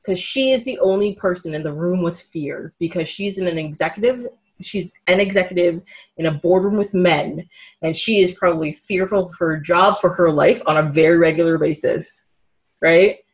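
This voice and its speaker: A woman co-hosting a podcast, chatting casually about a movie in a rambling, animated way. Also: lowish voice, moderate pace, low-quality recording. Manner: animated, rambling, frequent "like" and laughter. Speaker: podcast co-host.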